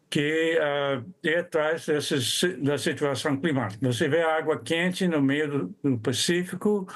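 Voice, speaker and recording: An older man with an American accent, a native English speaker, giving a scientific presentation haltingly as he searches for words. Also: a little high. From United States. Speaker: biologist and climate scientist. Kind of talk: expert presentation.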